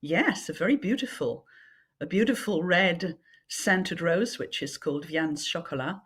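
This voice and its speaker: A low-voiced Englishwoman with a husky, breathy edge. Her pitch swings widely as she chats eagerly about books and gardens. She speaks over a video call. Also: lively intonation, unhurried, fairly bright tone. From England, Yorkshire. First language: French. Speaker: author guest. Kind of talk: casual interview chat. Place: home in Yorkshire, remote call.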